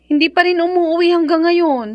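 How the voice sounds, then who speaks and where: A woman's voice: very high voice, fairly even intonation, normal speed, lo-fi sound. A Filipino woman acting an anxious, suspicious live-in partner in a radio drama.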